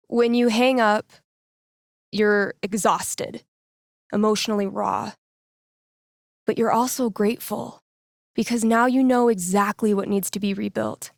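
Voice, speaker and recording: A woman's voice: medium pitch, steady tone, a little slow, creaky, pauses often, dry recording, clean studio sound. An actress playing a candid young woman radio DJ in a video game, speaking in a natural, earnest, conversational way with wry humor. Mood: sincere, encouraging.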